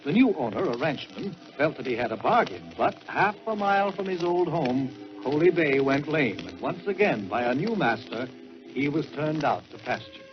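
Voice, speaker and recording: A man narrates in the grand manner of 1940s radio storytelling. His voice sits fairly high and swings widely in pitch, leaning on key words and pausing for effect. The recording is muffled and vintage. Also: very animated. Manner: theatrical, emphatic, deliberate pauses for effect. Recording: dull old-time radio recording with music.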